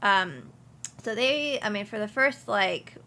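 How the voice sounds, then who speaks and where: A woman's voice: medium pitch, expressive, takes it slowly, vocal fry, pushed and bright. A young woman from Houston, speaking casually as an interview guest, with many ums and restarts. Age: young adult. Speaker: insurance agency principal, guest.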